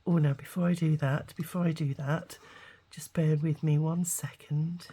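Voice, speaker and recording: A woman, hoarse with a cold and gruff-voiced, hosting a casual crafting video and talking hesitantly with many ums while she works. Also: deep voice, steady tone, normal speed, warm tone. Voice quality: gruff, gravelly. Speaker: craft channel host.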